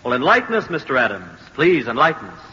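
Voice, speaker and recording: A man's voice: mid-range voice, wildly swooping pitch, fast, bright, forceful tone. An actor playing a condescending, sarcastic meeting chairman in an old-time radio drama. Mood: mocking, condescending. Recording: reverberant hall, dull noisy radio recording.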